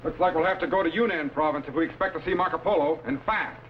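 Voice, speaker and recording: A man acting a commanding time-travelling captain in an old sci-fi serial, giving clipped, steady orders on a dated recording. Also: on the high side, fast talker, a little hiss or hum, natural voice. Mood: steady, urgent. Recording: dull old broadcast recording with loud music.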